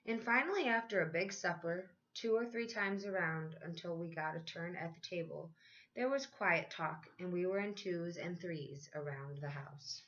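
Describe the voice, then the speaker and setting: Lower-voiced woman reading a picture book, muffled recording. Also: normal speed, fairly bright tone. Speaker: elementary school teacher reading aloud. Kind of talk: expressive children's picture book read-aloud.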